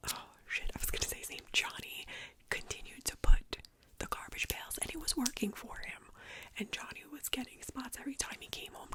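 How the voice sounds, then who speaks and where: A woman from New York whispering chatty neighborhood gossip in ASMR style, with casual swearing. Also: sits high. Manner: whispering throughout, chatty, swearing, animated retelling. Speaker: vlogger telling neighborhood gossip.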